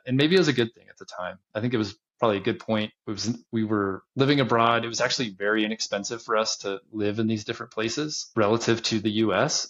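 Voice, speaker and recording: A man in his thirties, a podcast guest, talking casually about his business and travels, relaxed and often chuckling. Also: lowish voice, lo-fi sound. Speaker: podcast guest, land investor. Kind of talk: casual interview talk.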